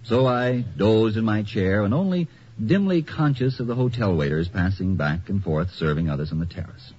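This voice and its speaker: A man's voice: fairly low pitch, lively intonation, normal speed, darkish tone, husky. An actor playing a contented vacationer, telling his story with leisurely drama as a scripted 1950s radio commercial. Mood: contented, musing. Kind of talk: 1950s radio ad, scripted first-person narration. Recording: muffled, noisy old 1950 radio recording.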